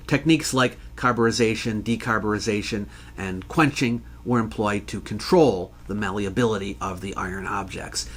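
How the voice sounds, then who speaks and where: A man hosting an educational show, reading scripted explanatory narration in a measured, scholarly way. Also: medium pitch, a bit bright. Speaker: host answering viewer questions.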